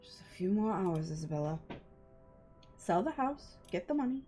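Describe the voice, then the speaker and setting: A woman's voice: mid-range voice, sing-song, normal speed, dark tone. A woman livestreamer reading a visual novel aloud and chatting casually, with playful, sweary asides. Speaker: livestreamer, VTuber. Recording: music behind the voice.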